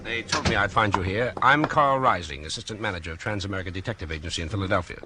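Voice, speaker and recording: Fast, low-voiced agency manager played by a man. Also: close to an everyday voice. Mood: brisk, formal. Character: businesslike detective agency manager, formal and brisk. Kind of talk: 1940s radio crime drama acting. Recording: noisy vintage radio drama recording.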